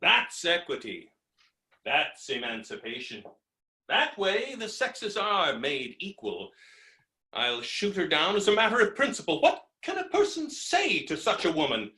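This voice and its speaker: A man playing a pompous, belligerent gentleman creditor in a theatrical staged reading of a farce, blustering and combative. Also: sits high, big pitch swings, a little slow, full character voice. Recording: home recording over video call.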